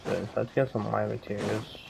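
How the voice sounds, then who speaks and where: A man's voice: fairly low pitch, little pitch movement, fairly quick, gravelly, heavy background noise. A man chatting casually and offhandedly to himself as he streams gameplay. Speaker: game streamer.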